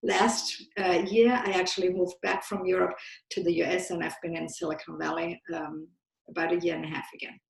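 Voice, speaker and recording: A woman's voice: fairly low pitch, flat delivery, vocal fry. German-accented woman in an echoey room. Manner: measured, with frequent ums. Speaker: guest. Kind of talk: conversational interview answers.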